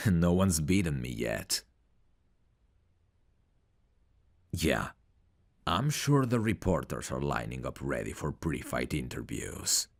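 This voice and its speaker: A man's voice: a bass voice, creaky, heavily acted. A man playing a cocky, smug prizefighter in an ASMR roleplay audio drama, with a boastful, teasing, self-assured manner. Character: cocky, smug male prizefighter, proud of his looks. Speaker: voice actor.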